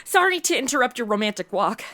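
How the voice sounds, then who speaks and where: A woman's voice: a little high, sing-song, fast, pushed and bright, slight background noise. A woman playing a ditzy, cheeky, meddling young sidekick in a comedic audio drama, blithely clueless and exaggerated. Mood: sheepish, defensive. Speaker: comic sidekick, star of the show.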